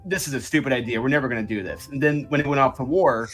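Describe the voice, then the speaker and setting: A man's voice: mid-range voice, rapid-fire, rough recording. A man on a panel, recounting things tentatively in casual conversation. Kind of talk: casual chat.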